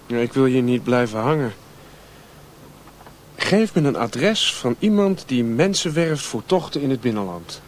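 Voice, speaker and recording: Radio drama: rundown, restless male wanderer, earnest and halting, mid-range voice, slightly noisy old recording. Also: medium pitch, very animated. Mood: determined. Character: restless, rundown male drifter seeking open country. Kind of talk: Dutch radio drama acting.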